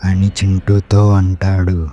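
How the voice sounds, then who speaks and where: A man's voice: low-pitched, completely flat delivery, takes it slowly, dark tone, slight background noise. A man narrating a scripted horror story as its storyteller. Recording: music behind the voice.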